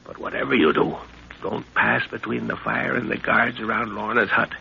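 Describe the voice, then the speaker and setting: An actor playing a middle-aged, seasoned jungle adventurer, fatherly and commanding, with measured instructions in a 1930s radio drama. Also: on the low side, steady tone, creaky, bright, forceful tone, exaggerated voice. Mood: hushed command. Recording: noisy dull 1930s radio recording.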